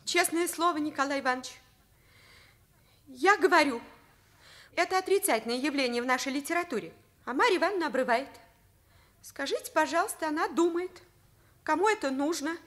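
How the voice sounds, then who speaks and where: Teenage schoolgirl, high voice, cheeky, candid, argumentative, Soviet radio play. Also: a little fast, pauses often, fairly bright tone. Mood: indignant. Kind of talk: Soviet radio drama acting.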